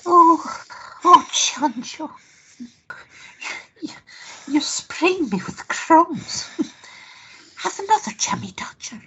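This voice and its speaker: A woman acts out an amorous, melodramatic lover in a radio play. Her high voice swoops through slow, drawn-out sighs and coos, on a slightly muffled recording. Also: big pitch swings, pushed and bright, whispered, heavily acted.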